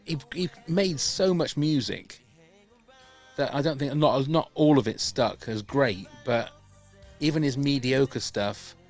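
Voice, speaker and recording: A man's voice: mid-range voice, slow, a little mellow, faint music behind. A man hosting a podcast over a video call, chatty and self-deprecating, often laughing at himself. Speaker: podcast host. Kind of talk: casual podcast chat.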